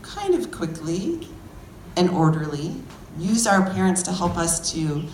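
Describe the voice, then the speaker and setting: Low-pitched woman teacher in an echoey, noisy room. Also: sing-song, measured pace, darkish tone. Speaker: first grade teacher hosting a class reading event. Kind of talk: addressing children and parents at a school event. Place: echoey room at a school event. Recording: roomy, noisy classroom event recording.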